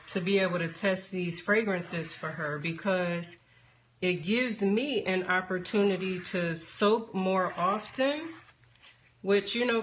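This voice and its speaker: A woman chatting casually on a live stream as she demonstrates a craft, unhurried and thinking aloud as she works. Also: medium pitch, fairly bright tone. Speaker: soap maker hosting a live stream. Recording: background noise, live phone stream.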